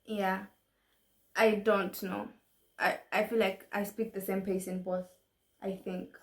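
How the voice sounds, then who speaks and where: A young woman from Tanzania, thinking aloud in a relaxed chat while reacting to a video. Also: mid-range voice, takes it slowly. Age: young adult. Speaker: co-host.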